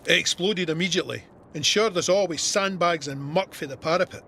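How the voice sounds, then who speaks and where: A Scottish soldier telling his war story in a radio drama. His voice sits a little high for a man, measured and plain-spoken, with some noise behind him. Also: gravelly. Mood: tense. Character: soldier Sandy recounting his Gallipoli service, plain-spoken and vivid. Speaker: narrator. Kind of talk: scripted first-person war memoir narration.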